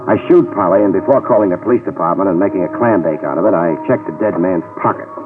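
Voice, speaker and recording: An actor in a 1950s radio detective drama playing a tough, wisecracking middle-aged private eye who narrates in dry hard-boiled style. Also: male voice, low voice, fast, close to an everyday voice. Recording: tinny lo-fi 1950s radio with music cues.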